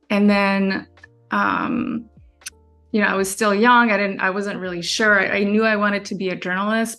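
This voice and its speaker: A woman's voice: medium pitch, a bit bright. A woman, a documentary filmmaker answering interview questions in a chatty way, often restarting sentences. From France. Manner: chatty, many fillers and restarts, laughs easily.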